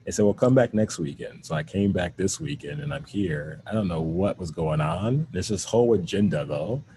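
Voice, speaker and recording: A man's voice: low voice, dark tone, slight background noise. A man who speaks with a stutter, giving a workshop talk to educators as a mental performance coach over a video call.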